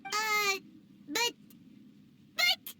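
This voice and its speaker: An actress playing a tiny, childlike female companion creature in a video game, squeaky, naive and excitable. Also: extremely slow, noisy background, exaggerated voice. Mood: hesitant. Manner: squeaky, speaks of self in third person. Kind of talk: video game character acting.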